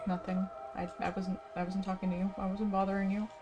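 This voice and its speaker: A woman's voice: mid-range voice, little pitch movement, a little fast, heavy background noise. A woman hosting a casual game playthrough, chatting and reading quest text aloud. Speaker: gaming video host. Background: game music.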